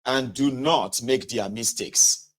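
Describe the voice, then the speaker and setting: A man with a Nigerian accent and a mid-pitched voice narrates from a book as a host. He reads in a conversational way and turns to address his listeners directly, correcting himself where he stumbles. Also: slow pace. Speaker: host reading a book aloud with commentary. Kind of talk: read-aloud narration with casual asides.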